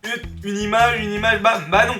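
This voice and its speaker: A young man with a cold talks high and loose, cracking crude jokes as he walks viewers through a tutorial. His voice rings around a roomy space with music playing clearly underneath. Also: level tone, normal speed, bright, forceful tone. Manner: chatty, joking, crude slang. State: has a cold. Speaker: YouTuber teaching software. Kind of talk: casual screen-recorded tutorial. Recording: roomy room with background music.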